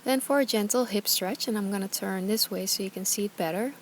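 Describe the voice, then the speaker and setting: A woman leads a guided yoga practice in a gentle, soothing manner. Her voice sits at an average pitch, and she gives short, calm cues. There is some ambient noise behind her. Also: a little fast. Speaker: yoga and meditation teacher.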